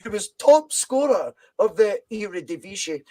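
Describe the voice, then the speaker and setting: A man's voice: high-pitched, animated, normal speed, dark tone. A Scottish man hosting a podcast, talking football with passion and repeating points for emphasis. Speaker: podcast host. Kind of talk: casual football chat.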